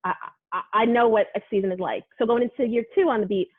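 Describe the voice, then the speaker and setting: A woman's voice: fairly high pitch, fast talker, breathy edge, dry recording, narrow, tinny audio. A sports reporter from Brooklyn, a woman, talking casually in an interview, full of "like" and "you know". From Brooklyn, New York. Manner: chatty, animated, many fillers like "like" and "you know".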